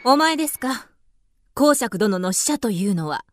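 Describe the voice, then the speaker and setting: Grand high-voiced young countess. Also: female voice, sing-song, moderate pace, a little hiss or hum. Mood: imperious. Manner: aristocratic, imperious. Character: haughty young countess, grand and easily smitten. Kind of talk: radio drama acting.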